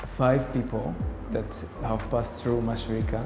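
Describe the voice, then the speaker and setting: A man's voice: fairly low pitch, flat delivery, a little slow. Male interviewer, young adult, formal delivery, reverberant room with music behind. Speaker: interviewer, host. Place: indoor room with bare walls, seated.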